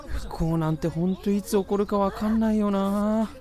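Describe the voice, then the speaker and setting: A woman's voice: medium pitch, normal speed, mellow, soft background music, lightly acted. A woman voicing a gloomy, sarcastic male college student in a voice drama, with blunt, slangy, grumbling talk. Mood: weary, self-deprecating. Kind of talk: Japanese voice drama with inner monologue.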